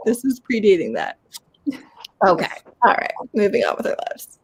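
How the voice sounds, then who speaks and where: A woman's voice: medium pitch, big pitch swings, normal speed, slightly rough. A woman hosting a casual live group chat about books, with a blunt, playfully teasing manner. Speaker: host of a live readalong.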